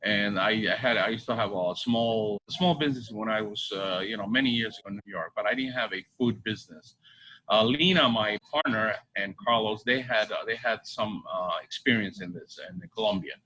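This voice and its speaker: A middle-aged man from Bolivia answering interview questions conversationally, halting and repeating his phrases. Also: lowish voice, pushed and bright, poor audio. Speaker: restaurant co-owner. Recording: faint background ambience noise.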